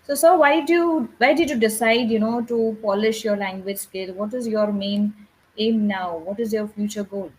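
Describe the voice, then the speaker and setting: A woman's voice: mid-range voice, fairly even intonation, normal speed, darkish tone, slight background noise, low-quality recording. A woman working as an English tutor, asking questions and encouraging the learner with frequent affirmations. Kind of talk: interviewing a learner.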